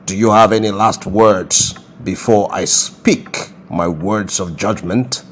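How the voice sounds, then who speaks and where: Man playing a deep-voiced, pompous king. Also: fairly even intonation, a little hiss or hum. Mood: solemn, stern. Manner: pompous, slow, ceremonious. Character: stern, grandly formal Nigerian king passing judgment. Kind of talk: comedy skit acting.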